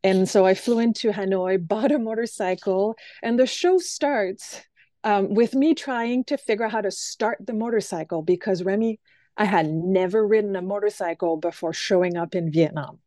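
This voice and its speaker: Mellow woman, dry sound. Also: mid-range voice, moderate pace, dry recording. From Quebec City, Canada. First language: French. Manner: thoughtful, frequent ums, light laughs. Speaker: guest, stage performer. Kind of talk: conversational interview answers.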